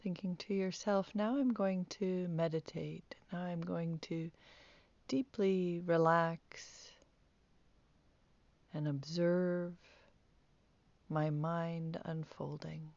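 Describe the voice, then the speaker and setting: A woman teaching guided meditation, speaking softly with calm, gentle instructions and long pauses. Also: fairly low pitch, vocal fry, dry recording, muffled recording.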